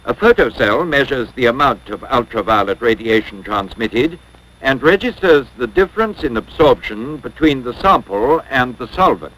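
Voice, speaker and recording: A man narrating a 1950s educational film from a script in a measured, formal, instructional way. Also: medium pitch, expressive, a bit bright, rough recording.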